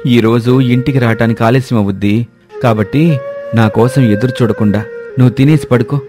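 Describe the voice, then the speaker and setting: A man's voice: mid-range voice, normal speed, over music, lightly acted. A man playing an honest, humble poor village husband who speaks earnestly and respectfully in a story. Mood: gentle, earnest.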